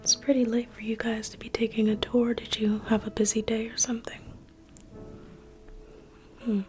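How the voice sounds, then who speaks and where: A woman whispering softly and reassuringly as a private museum guide in an ASMR roleplay. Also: a little high, level tone, normal speed, faint music behind. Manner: soft whispering, intimate and reassuring.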